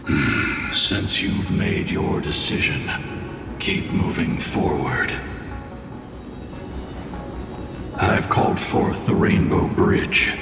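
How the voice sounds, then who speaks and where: A deep, echoing ancient warrior spirit. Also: male voice, expressive, vocal fry, exaggerated voice. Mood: solemn, challenging. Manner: grave, measured, formal. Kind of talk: theatrical fantasy video game dialogue. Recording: very echoey distant hall, music behind.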